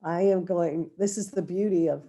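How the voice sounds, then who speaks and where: Hoarse woman moderating, with a cold. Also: fairly low pitch, normal speed, warm tone. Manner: restarts phrases often, chatty. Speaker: panel moderator. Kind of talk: webinar introduction. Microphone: remote video call.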